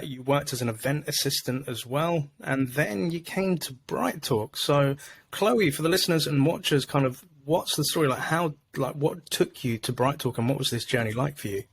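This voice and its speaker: A man hosts a podcast interview over a video call, upbeat and loose, filling his questions with "like" and "uh". His voice sits at an ordinary middle pitch, and a faint background noise runs under the recording. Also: vocal fry. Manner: upbeat, filler-heavy, lots of "like". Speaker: podcast host.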